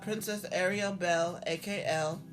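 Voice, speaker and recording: Hoarse, slow-talking woman. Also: on the low side, fairly even intonation, music in the background. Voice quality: halting, repetitive, with many restarts. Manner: earnest, heartfelt. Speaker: vlogger. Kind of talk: personal talk to camera.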